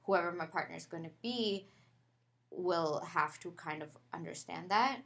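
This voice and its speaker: A woman from Pakistan, a podcast guest, talking casually and thoughtfully with many pauses, fillers and restarts. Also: lowish voice, creaky, a bit bright. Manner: thoughtful, halting, lots of "like" and restarts. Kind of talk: casual conversational interview.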